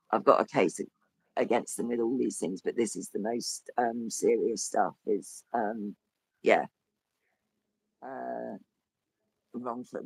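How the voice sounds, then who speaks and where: A man with a croaky, hoarse voice, talking as a guest in a rambling casual chat that trails off and restarts. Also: mid-range voice, no room sound, rough recording. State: hoarse with a cough. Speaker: podcast guest. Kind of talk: casual conversation.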